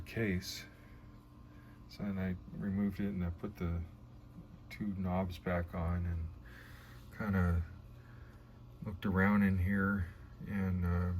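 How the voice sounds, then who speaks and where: Male DIY restorer, low register, casual and halting, thinking aloud, with clear background noise. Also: darkish tone. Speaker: vlogger restoring an old radio. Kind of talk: casual hands-on demonstration commentary.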